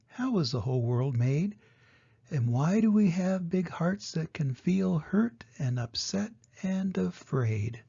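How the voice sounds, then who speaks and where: An older grandfather reads a picture book to little children in a gentle, explanatory way. His voice is husky and creaky, with a soft, mellow tone. The recording sounds slightly dull and muffled. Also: a little high. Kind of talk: children's storybook reading.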